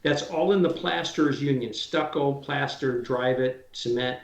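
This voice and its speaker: A man's voice: medium pitch, moderate pace, creaky, echoey room. A man in his sixties, a drywall finisher guest, chatting casually and animatedly, often repeating words. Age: 60s. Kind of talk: casual interview chat.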